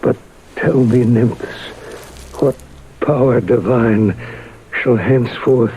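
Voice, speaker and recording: A man's voice: medium pitch, takes it slowly, warm tone, close to an everyday voice, low-quality recording. An actor playing a possessed man who declaims grandiose, mournful verse in a theatrical vintage radio drama. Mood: solemn. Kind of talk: 1970s radio drama acting. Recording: vintage radio recording, faint noise.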